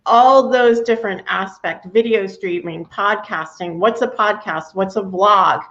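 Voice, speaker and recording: A woman hosting a video call interview, giving quick agreement as she listens and then framing questions. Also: medium pitch, fairly bright tone, lo-fi sound. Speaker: interview host. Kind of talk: casual interview chat.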